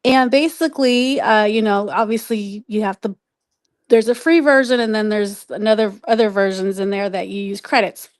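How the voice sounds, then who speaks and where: A woman's voice: medium pitch, moderate pace, no echo. A woman guest explaining things in casual, informal chat, feeling her way through her sentences a little hesitantly. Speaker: guest on a live online show.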